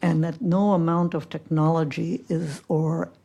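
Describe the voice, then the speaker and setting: Woman physician, low dark voice, slow and halting, soft-spoken with many fillers. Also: fairly even intonation, husky. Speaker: physician panelist. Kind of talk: roundtable reflections. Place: conference room.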